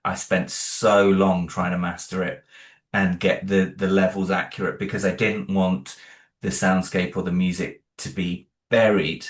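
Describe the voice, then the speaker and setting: A man's voice: deep voice, flat delivery, reverberant. A man being interviewed about the audio drama he created. He talks casually and thoughtfully, with many restarts. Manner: thoughtful, halting, many restarts and "you know".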